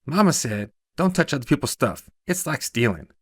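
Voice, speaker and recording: A man's voice: mid-range voice, very animated, moderate pace, fairly bright tone, breathy edge, no room sound, studio quality, pitched above the performer's own voice. A man voicing a cheerful, polite and chatty little girl for a short story, bubbly and earnest. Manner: bubbly, earnest, quotes mama's sayings.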